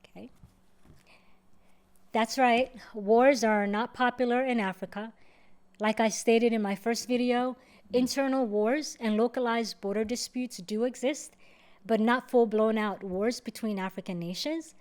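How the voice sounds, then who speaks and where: Woman from Somalia, host, calm step-by-step explainer, voice a bit high. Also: measured pace. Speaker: host answering viewer questions. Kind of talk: explanatory talk to camera.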